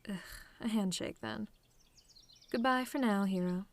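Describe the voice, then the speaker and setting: A woman playing a proud, sardonic telepathic villainess who is secretly soft, in a dramatic, teasing ASMR roleplay addressed to the listener. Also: mid-range voice, a little slow, close to an everyday voice. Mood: confident, teasing. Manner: haughty, dramatic, teasing, exasperated.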